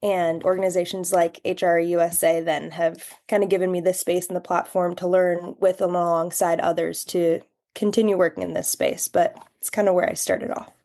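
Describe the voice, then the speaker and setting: A woman's voice: fairly low pitch, level tone, normal speed, mellow. A young adult woman, a podcast guest, giving earnest, reflective answers in long flowing sentences. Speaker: podcast guest, human rights education fellow.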